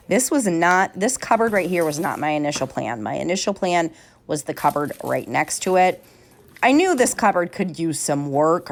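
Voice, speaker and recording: A woman, chatting casually in a home vlog, a little nasal and congested from a cold, bubbly and talkative. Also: fairly low pitch. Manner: bubbly and talkative, many fillers. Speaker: vlogger. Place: home kitchen.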